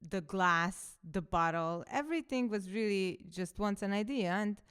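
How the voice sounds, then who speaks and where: Smooth-voiced Hungarian woman reflecting. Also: mid-range voice, unhurried, fairly bright tone. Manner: reflective, storytelling. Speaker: coach and podcaster. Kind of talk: casual personal monologue.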